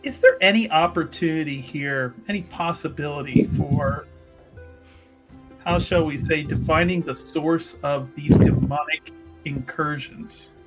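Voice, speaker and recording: A man playing a tabletop game, choosing his words in a measured, wry way. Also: fairly high pitch, music in the background, rough recording. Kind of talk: casual in-game discussion.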